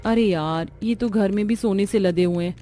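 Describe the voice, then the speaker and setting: Casting: female actor as earnest young cartoon bride, dark warm tone, faint music behind. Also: mid-range voice, normal speed, close to an everyday voice. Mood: amazed. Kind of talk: Hindi cartoon family story dialogue.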